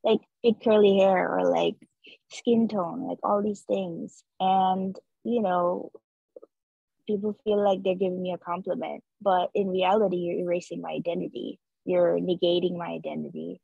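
Husky, gravelly woman from Nepal. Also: lowish voice, flat delivery, moderate pace, no room sound. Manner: earnest, many filler likes. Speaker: interview guest, street artist. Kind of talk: casual reflective conversation. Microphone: video call laptop mic. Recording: dull video call audio.

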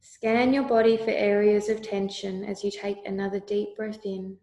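A woman leading a guided meditation with calm, soothing, deliberate instructions. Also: mid-range voice. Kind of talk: slow guided meditation narration. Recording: roomy, echoey voice recording.

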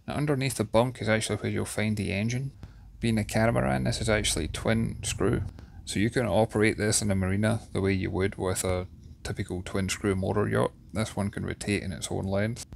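A man with a Scottish accent, in his thirties, giving a steady, detailed walkthrough of a yacht's interior as a reviewer. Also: low voice, creaky, slight background noise. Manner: steady, descriptive, enthusiastic about details. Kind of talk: guided video tour narration. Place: inside a yacht cabin.

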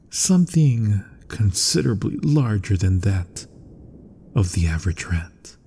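A proud, macabre mad scientist , acted by a man, speaks in a dark, mellow voice that is heavily breathy and often sinks toward a whisper. He takes his time over each formal, theatrical phrase. It is a gothic horror ASMR roleplay, courteous on the surface and obsessive underneath. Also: fairly low pitch, heavily acted. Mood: proud, measured.

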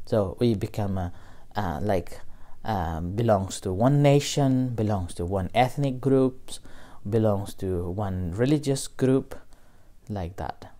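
Slow, soft-spoken man giving a dharma talk. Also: mid-range voice. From Nepal. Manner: slow, gentle, with pauses and fillers. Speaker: meditation teacher. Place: small room indoors.

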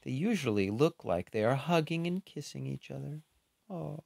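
A man reading a children's picture book aloud in an expressive, unhurried way, with casual, playful asides. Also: medium pitch, vocal fry, dry recording.

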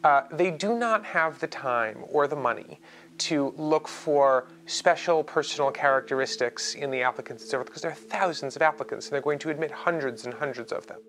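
A man, a law professor, explaining admissions in a measured way in an interview. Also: on the high side, a bit bright.

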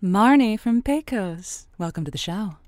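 A woman's voice: medium pitch, lively intonation, unhurried, a little mellow, no room sound, clean studio sound, soft background music, close to an everyday voice. An actress playing a wry, feisty woman who hosts a late-night radio advice show, playful and musing in manner. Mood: welcoming, curious. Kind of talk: fiction podcast acting as late-night radio monologue.

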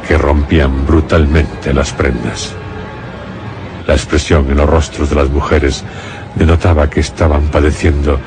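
A man with an extremely low voice narrates a radio tale of horror. His delivery is grave and deliberate, broken by dramatic pauses, with music clearly playing underneath.

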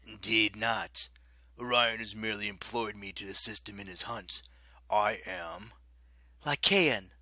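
A man voicing a mocking ancient werewolf king, speaking low and quiet with silky menace. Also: expressive, unhurried, gravelly, no echo, heavily acted. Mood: menacing.

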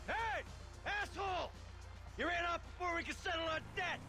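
An actor playing a sarcastic, hot-tempered middle-aged American criminal in a video game, profane and explosive. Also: male voice, very high-pitched, moderate pace, over music. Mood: furious, gloating.

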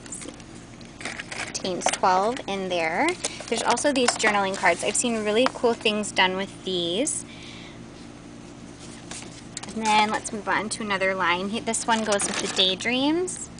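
A woman's voice: medium pitch, unhurried, pauses often. Woman casually showing off products, chatty and informal, handheld camera, pretty noisy warehouse sound. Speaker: host showing new craft store products. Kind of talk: casual unscripted product walkthrough. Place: large indoor warehouse aisles. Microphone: handheld camera's built-in mic.

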